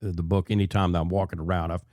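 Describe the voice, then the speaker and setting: A man hosting an interview in casual conversation, often halting and repeating his phrases as he builds a question. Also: deep voice, level tone, darkish tone, no echo. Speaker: interview show host.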